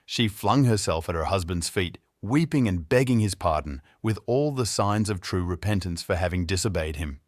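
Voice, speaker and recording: Animated male storyteller, dry sound. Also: deep voice, normal speed, few pauses, no room sound. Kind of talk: scripted fairy tale narration.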